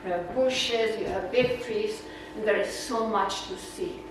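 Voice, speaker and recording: A woman's voice: medium pitch, measured pace. A woman with a Czech accent giving a casual, rambling slide talk to a naturalist club, full of fillers. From Czech Republic. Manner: chatty, rambling, with fillers and laughs. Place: meeting room. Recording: echoey room, voice from far off, noisy.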